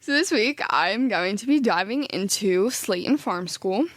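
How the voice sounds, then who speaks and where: A woman co-hosting a podcast, chatting and telling a story, lively and quick to laugh. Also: medium pitch, dry recording. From Philadelphia area. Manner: chatty, laughs often, enthusiastic. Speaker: podcast co-host. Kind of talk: casual chat and storytelling.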